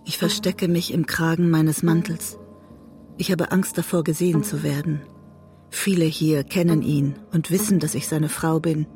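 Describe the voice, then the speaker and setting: An actress playing a guilt-ridden, disillusioned wife and writer, narrating introspectively in a literary radio drama. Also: female voice, low-pitched, steady tone, dark tone, faint music behind, close to an everyday voice. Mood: ashamed, bitter. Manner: introspective, measured storytelling. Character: disillusioned, guilt-ridden woman writer trapped in a bitter marriage.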